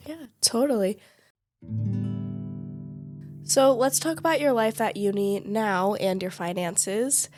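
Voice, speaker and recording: A young woman from the United States hosting a student podcast as a steady, friendly interviewer. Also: medium pitch, takes it slowly, long pauses, faint music behind. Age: young adult. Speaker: podcast host.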